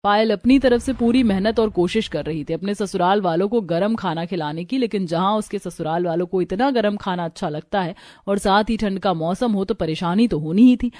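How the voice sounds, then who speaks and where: A woman's voice: mid-range voice, brisk, few pauses, a little mellow, dry recording. A woman narrating a scripted moral story as a storyteller.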